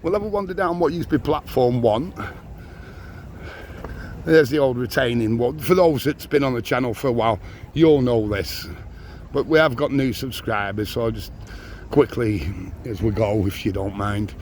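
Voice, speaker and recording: An older man rambles cheerfully as he walks, pointing things out and poking fun at himself. His voice sits at an ordinary middle pitch but sounds a touch squeaky and strained. Clear outdoor street noise runs under him. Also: normal speed, a little mellow. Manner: chatty, rambling, self-mocking. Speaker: walking vlogger. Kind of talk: casual walking tour commentary. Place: outdoors on busy town streets. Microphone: handheld camera on a gimbal.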